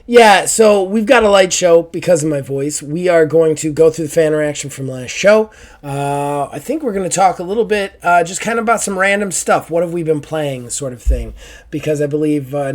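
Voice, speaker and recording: A man hosting a casual podcast, hoarse and raspy after an illness, joking and swearing freely. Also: fairly high pitch. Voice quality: raspy, strained throat. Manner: joking, profane, self-mocking. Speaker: podcast host.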